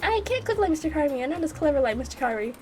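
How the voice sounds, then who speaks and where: A woman's voice: high-pitched, sing-song, very fast, darkish tone, slight background noise. A woman voicing a timid, naive young heroine in a visual novel, hesitant and apologetic, with music behind. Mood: shy, earnest.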